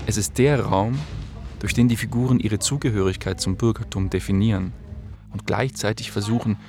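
Male narrator, lowish pitch, measured, explanatory, scripted, music clearly underneath. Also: steady tone, darkish tone.

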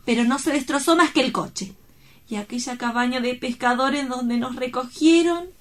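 A woman's voice: sits high, normal speed, a bit bright. A woman playing an exuberant, romantic young woman in love in an audio drama, effusive, playful and full of exclamations. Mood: gushing, playful. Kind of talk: theatrical audio drama acting.

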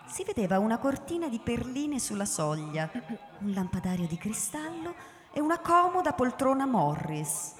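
A woman's voice: on the high side, big pitch swings, normal speed. A woman narrating a radio drama in a literary, scripted style. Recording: reverberant hall with music behind.

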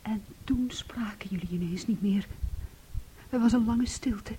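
An actress in a Dutch radio play playing a bitter, possessive, jealous sister with an intense, accusing manner. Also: female voice, mid-range voice, unhurried, warm tone, lightly acted. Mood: hushed, wounded. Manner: intense, accusing, shifting between whispers and outbursts. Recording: noisy old radio play recording.

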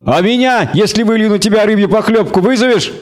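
A big, dim bruiser voiced by a male narrator, pushed up high in pitch. He is loud, brutish and simple, always provoking, in fantasy audiobook style. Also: a little fast, close to an everyday voice. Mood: belligerent. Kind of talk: audiobook character acting.

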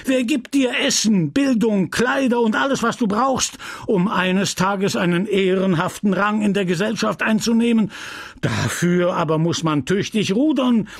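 A man voicing a pompous middle-aged pharmacist in a furious, breathless rage, with a husky voice. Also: unhurried, no echo, clean studio sound. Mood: furious ranting. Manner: ranting, shrill, breathless tirade.

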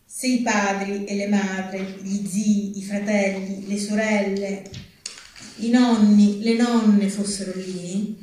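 A woman's voice: mid-range voice, flat delivery, takes it slowly, warm tone, slight background noise. A woman reading literary prose aloud at a live event. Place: large echoey venue at a live reading event. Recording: very echoey hall, heard from a distance.

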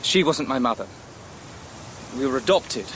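An actor in a radio drama playing a bitter, mocking adopted son who talks in a blunt, sardonic, goading way. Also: male voice, mid-range voice, expressive, unhurried, fairly bright tone, a little hiss or hum. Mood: bitter, challenging. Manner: sardonic, colloquial, challenging.

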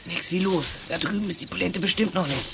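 An actor playing a blustering, short-tempered crook who barks indignant orders as gang leader in a German youth radio play. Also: male voice, sits high, fairly quick, gravelly, very noisy. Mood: alarmed, bossy.

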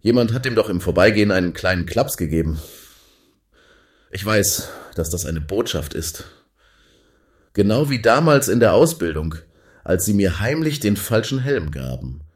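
Man playing a deep, paranoid spaceship captain. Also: sing-song, normal speed. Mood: brooding suspicion. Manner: brooding, suspicious. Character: paranoid, easily slighted male spaceship captain. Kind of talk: radio play satire.

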